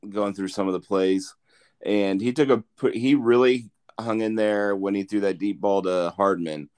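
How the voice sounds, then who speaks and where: A man's voice: on the low side, normal speed, darkish tone, clean tone. A man hosting a casual sports podcast, reading off game stats with frequent fillers and restarts. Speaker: podcast host.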